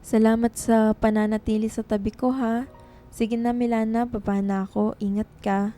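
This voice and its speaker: A woman playing a tender, lovelorn young writer in a radio drama, with a soft, pleading manner. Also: fairly high pitch, little pitch movement, normal speed, soft background music, close to an everyday voice. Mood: sad, resigned.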